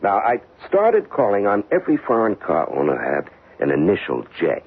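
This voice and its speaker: An actor playing a dogged, weary homicide detective and husband in a 1970s radio drama, colloquial and explanatory in manner. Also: male voice, lowish voice, wildly swooping pitch, normal speed, breathy edge, exaggerated voice. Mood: wry, chatty. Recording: tinny band-limited old radio broadcast.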